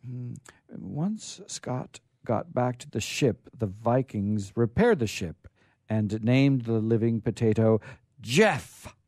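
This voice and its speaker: A man hosting a radio show, reading stories aloud in an informal, halting but theatrical way. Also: mid-range voice, expressive, a little mellow, no echo, clean studio sound. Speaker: radio show host and storyteller.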